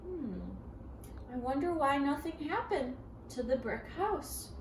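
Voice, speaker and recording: A woman's voice: sits high, animated, a little slow. A woman voicing a sly, boastful male big bad wolf from a children's storybook, theatrical and taunting, stretching out words. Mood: puzzled, smug. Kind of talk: children's storybook read-aloud. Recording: roomy, distant, faint background noise.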